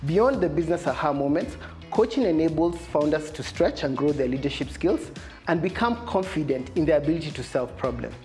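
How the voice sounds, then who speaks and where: A woman with a notably low voice gives a scripted message as a coach. Soft music plays behind her. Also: expressive, brisk. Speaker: business coach. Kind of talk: scripted testimonial narration.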